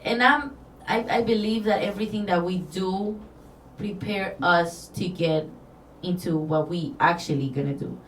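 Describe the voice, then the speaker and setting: A woman's voice: lowish voice, moderate pace. A woman from Mexico with a Mexican accent, a guest telling her story in a casual interview, earnest and rambling with restarts. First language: Spanish. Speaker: guest, designer. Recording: roomy, distant, faint background noise.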